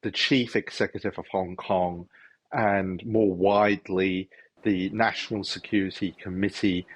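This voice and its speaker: A man who is a lawyer and activist, answering an interview in careful, deliberate legal phrasing. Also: sits low, steady tone, breathy edge.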